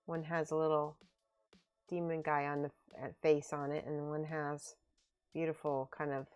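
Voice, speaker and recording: A woman's voice: low-pitched, flat delivery, moderate pace, a little mellow, clear, resonant tone. A woman hosting a casual livestream, chatting and laughing as she reads viewer comments aloud. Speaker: miniature painting streamer. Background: faint background music.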